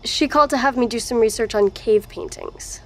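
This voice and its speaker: An actress playing a wry, matter-of-fact researcher and archivist in an audio drama. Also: female voice, on the high side, normal speed, natural voice.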